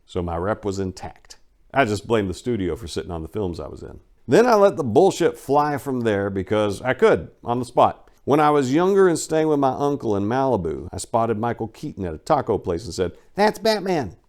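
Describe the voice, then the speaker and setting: A man's voice: medium pitch, very animated, moderate pace, a little mellow. A man reading his own book as a dramatic first-person narration, with a colloquial, cocky storytelling manner.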